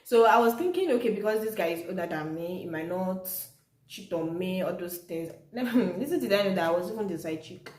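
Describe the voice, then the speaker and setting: Low-voiced Nigerian woman in an echoey room. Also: animated, brisk, darkish tone. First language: Yoruba. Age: young adult. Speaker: vlogger. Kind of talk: casual personal storytelling to viewers.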